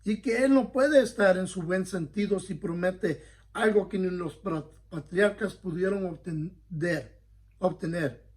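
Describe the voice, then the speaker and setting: A man's voice: high-pitched, unhurried, darkish tone. A male pastor teaching a Bible study in an earnest, conversational way, often checking in with his listeners and correcting himself as he goes. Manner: frequent self-corrections.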